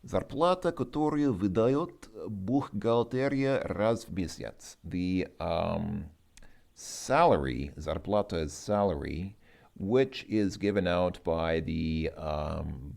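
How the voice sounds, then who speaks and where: A man with a very deep, gravelly voice walks through a language lesson informally. He speaks slowly and haltingly, sounding words out and thinking aloud as he translates. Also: a little mellow. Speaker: host working through a Russian lesson as a learner.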